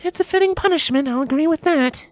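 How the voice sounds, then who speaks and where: Woman putting on a very high, sulky pony princess. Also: fast, no echo, close to an everyday voice. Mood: sulky, defensive. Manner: hesitant, grudging, defensive. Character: sulky, remorseful young pony princess, female. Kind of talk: fan fiction storybook reading.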